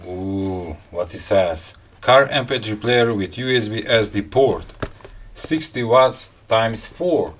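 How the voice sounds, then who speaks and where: A man reviewing a gadget in a casual unboxing style, talking in halting, piecemeal phrases and muttering to himself. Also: fairly low pitch, animated, slight background noise. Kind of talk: casual unboxing and product explanation.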